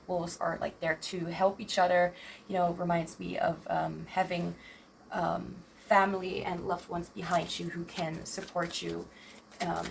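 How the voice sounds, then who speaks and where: A young woman in her twenties talks through a tarot reading in a low, gravelly, slightly raspy voice. She hesitates and fills gaps with ums, breaking off mid-sentence. A faint noise sits under the recording. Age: 20s. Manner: hesitant, rambling, self-interrupting with many fillers. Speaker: tarot reader.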